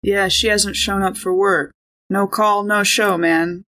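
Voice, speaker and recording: A woman's voice: medium pitch, steady tone, measured pace, pushed and bright. A woman chatting casually and slangily as a player in a tabletop role-playing game.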